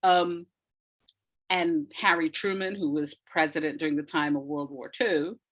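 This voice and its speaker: A woman's voice: sits low, fairly even intonation, unhurried, fairly bright tone. Thoughtful woman explaining as an interview guest. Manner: thoughtful, searching for words, emphasising key points. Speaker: interview guest, audio drama writer. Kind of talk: conversational explanatory interview talk.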